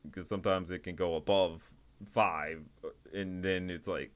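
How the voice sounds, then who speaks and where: A man hosting a livestream, giving casual, rambling commentary in a halting way, often repeating and rephrasing himself. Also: low voice, steady tone. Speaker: livestream host reviewing indie animation.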